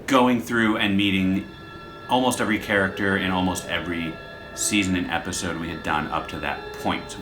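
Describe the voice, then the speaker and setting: A man's voice: low-pitched, fairly even intonation, moderate pace, over music. A man hosting a podcast, explaining his show in a casual, rambling, self-deprecating way. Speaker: podcast creator and host.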